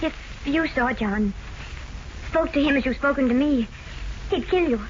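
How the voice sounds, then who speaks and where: An actress playing a wary, anxious wife with halting, guarded speech in an old radio mystery. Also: female voice, high voice, natural voice. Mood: tense, guarded. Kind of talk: 1940s radio mystery acting. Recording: very noisy, dull vintage radio recording.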